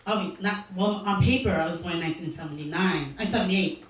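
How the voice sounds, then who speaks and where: A woman in her thirties, a community organizer, talking informally to doctors with lively, laughing, self-deprecating asides. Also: lowish voice, fast talker, a crowd in the background. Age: 30s. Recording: very echoey hall audio from far off, with noise.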